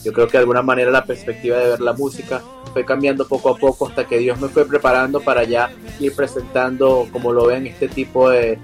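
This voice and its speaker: Young Venezuelan male singer, remote guest, hesitant and filler-heavy, soft music under him. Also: mid-range voice, level tone, a little fast, few pauses. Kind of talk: interview over a video call. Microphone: video call.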